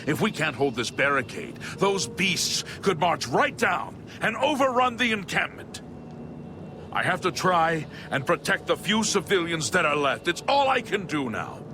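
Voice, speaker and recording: An actor playing a weary but determined city guard captain in a fantasy video game, commanding and exasperated. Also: male voice, on the high side, very animated, unhurried, background noise. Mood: urgent, exasperated.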